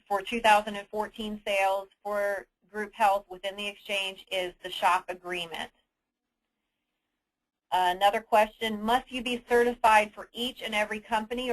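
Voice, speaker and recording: A woman hosting a conference call briefing, answering submitted questions in an explanatory, businesslike and somewhat halting manner. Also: mid-range voice, a bit bright, low-quality recording. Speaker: host of an insurance agents' conference call. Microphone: telephone conference line.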